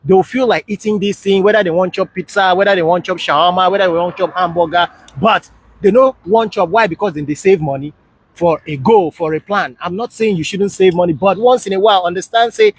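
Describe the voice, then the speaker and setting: Casting: Nigerian man, fairly high husky voice, dry sound, informal vlog storyteller who advises and checks you follow. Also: brisk, no echo. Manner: advising, often checks listeners understand. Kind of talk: casual chat, storytelling in Nigerian Pidgin and English.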